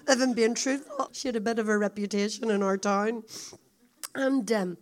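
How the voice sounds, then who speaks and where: A woman with a croaky, hoarse voice, a visiting speaker telling a story to a congregation in a chatty, confiding way. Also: a little high, moderate pace. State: hoarse, coughing.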